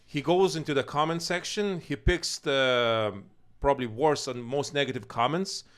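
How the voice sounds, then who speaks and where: A man co-hosting a casual discussion in a halting way, repeating his phrases. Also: mid-range voice, a bit bright, clean tone. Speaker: co-host of a video channel.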